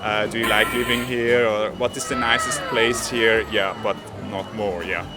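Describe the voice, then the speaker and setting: A man's voice: fairly low pitch, fairly even intonation, moderate pace, loud music behind the voice. A man with a Swiss accent being interviewed as a traveler, who sometimes searches for words.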